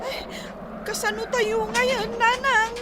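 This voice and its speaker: A woman's voice: extremely high pitch, level tone, slow, noisy background. A woman voicing a small, frightened, whining village child in a radio drama. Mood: frightened, whiny. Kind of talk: Filipino radio comedy drama acting.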